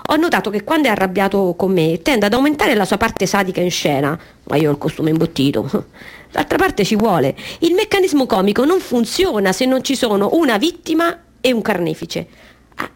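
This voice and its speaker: An actress playing a clumsy, unrestrained Auguste clown, eager and self-deprecating, in a theatrical radio monologue. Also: female voice, medium pitch, very animated, brisk. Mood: defensive. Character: clumsy, unrestrained female Auguste clown pleading her case.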